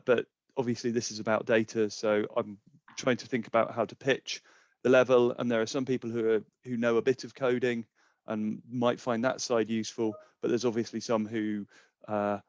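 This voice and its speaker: A man's voice: medium pitch, no room sound. A middle-aged man presenting an informal webinar in a conversational, explanatory way over a video call. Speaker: climate scientist presenting a webinar. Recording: dull, lo-fi webinar audio.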